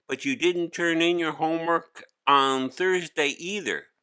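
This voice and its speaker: A man performs a stern male schoolteacher for a children's story, raised in pitch and sharp in tone. He is solemn and commanding, with clipped, exasperated delivery. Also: measured pace, clean tone. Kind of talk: storybook character voice.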